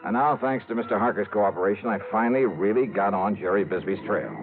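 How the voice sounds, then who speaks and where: A man's voice: fairly low pitch, quick pace, narrow, tinny audio, close to an everyday voice. An actor playing a wry, hard-boiled male investigator, narrating and talking in punchy vintage radio noir style. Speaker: lead and narrator. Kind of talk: radio noir narration and dialogue, 1950s-60s acting. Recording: thin vintage radio recording with music.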